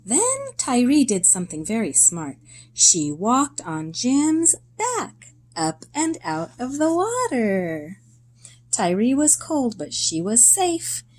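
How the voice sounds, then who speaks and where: A woman reading a children's story aloud in a deliberate, expressive way, in short phrases with pauses. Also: fairly high pitch.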